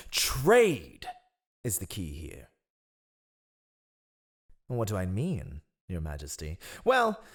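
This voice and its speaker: A man acting a cunning, ruthless royal spymaster in a fantasy audio roleplay, with a sly, mocking, theatrically deferential manner. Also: fairly low pitch.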